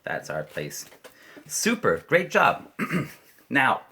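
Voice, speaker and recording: A Canadian man teaching an online English lesson to children, playful, leading chants slowly and clearly. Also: medium pitch, wildly swooping pitch, pushed and bright.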